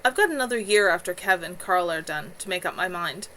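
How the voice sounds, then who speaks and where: A woman's voice: on the low side, animated, brisk, bright, forceful tone, slight background noise, low-quality recording. Woman reading as a sensible young witch. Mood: wry. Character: young female kitchen witch, sensible and wry.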